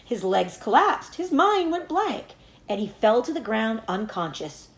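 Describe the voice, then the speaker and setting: A woman reading a children's book aloud as a teacher, in an animated storytelling manner. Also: on the high side, unhurried, reverberant. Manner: animated storytelling with acted dialogue.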